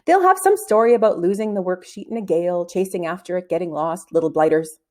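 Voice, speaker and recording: A woman voicing a brisk, unbothered female schoolteacher in a children's storybook, dry and matter-of-fact. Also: lowish voice, lively intonation, close to an everyday voice. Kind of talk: children's storybook read-aloud.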